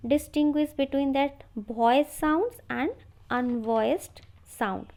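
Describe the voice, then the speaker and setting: A woman lecturer explaining technical theory from slides in a measured, instructional way. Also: sits high, darkish tone.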